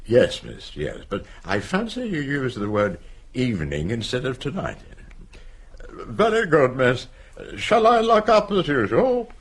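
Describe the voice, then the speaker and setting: An actor playing a discreet, deferential butler in a radio play, speaking formally and politely. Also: male voice, mid-range voice, wildly swooping pitch, a little slow, breathy edge, full character voice. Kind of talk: radio drama acting.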